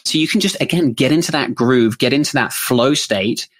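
A man, co-hosting a podcast and explaining his routine in a relaxed, chatty way, often restarting his phrases. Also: mid-range voice, normal speed. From New Zealand. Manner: explanatory, with frequent restarts and "sort of" fillers. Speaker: podcast co-host. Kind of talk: casual conversational podcast chat. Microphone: studio podcast microphone.

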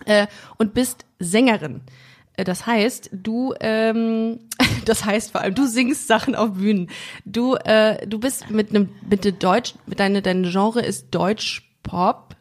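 A woman's voice: medium pitch, steady tone, takes it slowly. Female podcast host in her thirties. Chatty, informal, jokes at her own expense, mid-range voice. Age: 30s. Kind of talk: casual interview chat.

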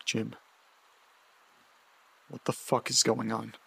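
An actor playing an anxious, desperate man in an audio drama, speaking haltingly and repeating words. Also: mid-range voice, level tone, vocal fry, pushed and bright, slightly rough, exaggerated voice. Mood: confrontational. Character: anxious, desperate man searching for his dog.

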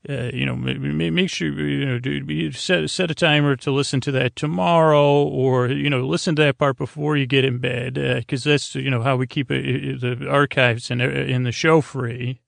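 Male sleep-podcast host, creaky voice, close dry sound, wandering and hesitant delivery full of false starts. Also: mid-range voice, fairly even intonation, no room sound. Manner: meandering, tangent-prone, halting and stammering; languid, drawn-out delivery meant to lull. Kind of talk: rambling bedtime podcast monologue.

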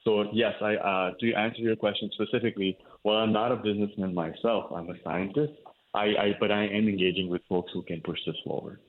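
A man's voice: fairly low pitch, steady tone, brisk, phone-quality sound. A man from India, a cardiologist, explaining his work in an interview, with many fillers and restarts. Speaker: cardiologist guest. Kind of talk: interview answers.

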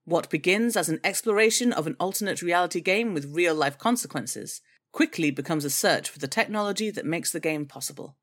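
A female announcer with a slightly low voice reads promotional copy. Her delivery is scripted, introducing a show to listeners. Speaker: podcast voice actor as announcer.

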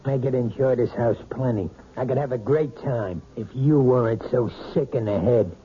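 An actor playing a domineering, bitter husband who runs a trucking company, in a 1970s radio drama. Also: male voice, mid-range voice, normal speed, close to an everyday voice. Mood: bitter, bullying. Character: bullying trucking boss husband, man, middle-aged. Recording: dull old radio broadcast with faint hiss.

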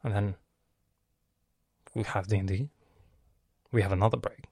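Gravelly-voiced man rambling on his podcast. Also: on the low side, steady tone, normal speed, darkish tone, no echo, clean studio sound. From Lebanon. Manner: rambling, profane, loses his thread. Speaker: podcast host. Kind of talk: casual rambling monologue.

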